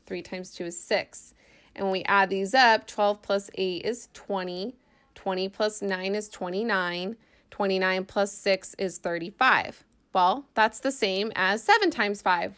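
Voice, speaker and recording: A woman's voice: mid-range voice, a bit bright, clear, resonant tone. A woman math teacher giving an informal lesson, thinking aloud as she explains worked examples step by step in a casual way.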